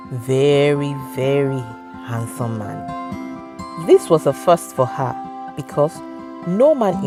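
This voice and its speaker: Nigerian female storyteller, low register, scripted folktale narration over soft music.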